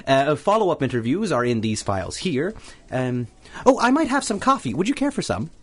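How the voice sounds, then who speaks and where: A man's voice: fairly high pitch, big pitch swings, brisk. A man playing a chatty, ingratiating newspaper reporter who rambles politely and effusively in a 1930s-set radio drama. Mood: earnest, obliging.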